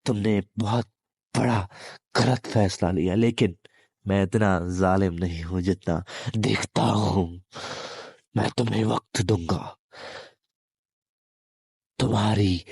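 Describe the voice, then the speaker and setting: A menacing demon in human form, voiced by a man, speaks low and very slowly in an intimate roleplay audio. He is possessive and taunting, with deliberate pauses and mock sympathy. The sound is close and dry. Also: a little mellow, no echo, exaggerated voice. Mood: menacing, gloating. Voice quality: deep, smooth.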